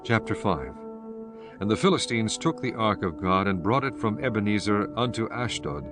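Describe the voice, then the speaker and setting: A man reading scripted scripture narration in a solemn, measured manner. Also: on the low side, sing-song, music in the background.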